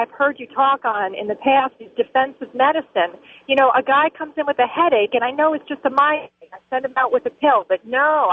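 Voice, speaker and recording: A woman's voice: sits high, a little hiss or hum. A middle-aged woman calling a radio show by telephone, talking earnestly in short, punchy, opinionated sentences over a narrow line. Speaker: call-in listener, family physician. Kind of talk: earnest opinionated call-in talk. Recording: narrow tinny phone line.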